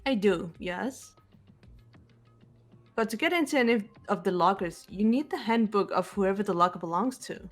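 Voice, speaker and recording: A woman's voice: mid-range voice, faint music behind, close to an everyday voice. Woman voicing a composed teen girl detective; level, lecturing, precise delivery, mid-range voice. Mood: cool. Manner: calm, measured, lecturing. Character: cool, analytical teenage girl detective. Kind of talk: amateur voiced game playthrough.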